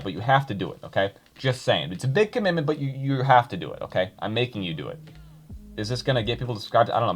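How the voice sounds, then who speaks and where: A young man chatting casually to his viewers, rambling with many fillers and restarts. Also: mid-range voice. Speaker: YouTuber reading comments.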